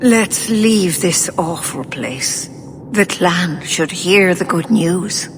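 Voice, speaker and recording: Solemn elder elf woman, lowish voice, very slow, resolute, formal, fantasy game, noisy ambience. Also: lively intonation. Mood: weary. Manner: grave, measured, formal. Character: older female elven clan leader, solemn, resolute. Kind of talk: dramatic video game voice acting. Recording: clear background ambient noise.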